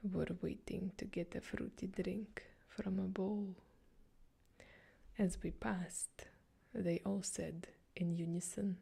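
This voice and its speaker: Slow gravelly whispering woman. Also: fairly low pitch. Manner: soft whisper throughout. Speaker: joke reader. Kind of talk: whispered ASMR joke reading.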